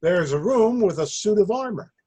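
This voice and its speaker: Casting: male actor for earnest, sheepish amateur raconteur, slightly high husky voice, readers theater comedy. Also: animated, moderate pace, dark tone. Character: hapless, earnest man rehearsing a half-baked party anecdote.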